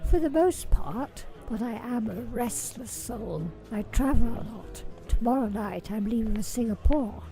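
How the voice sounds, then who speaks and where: A woman plays a coy, mysterious charmer with a fairly high voice that often drops to a breathy near-whisper. Faint music plays behind her. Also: lively intonation, a little slow, hardly any pauses, warm tone, low-quality recording. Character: charming mysterious adult woman who gambles. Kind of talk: amateur radio play acting.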